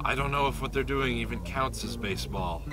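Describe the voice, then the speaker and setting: An actor playing a grumpy, unimpressed driving instructor and coach in a comic game, deadpan and grudging. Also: male voice, medium pitch, brisk, loud music behind the voice, lightly acted. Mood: grumpy, defensive. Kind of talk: comic game cutscene acting.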